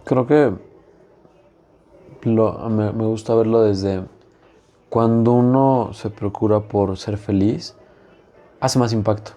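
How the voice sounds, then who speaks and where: A man hosting a conversational interview, agreeable and quick with short affirmations. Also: fairly low pitch, fairly even intonation, mellow. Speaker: podcast host.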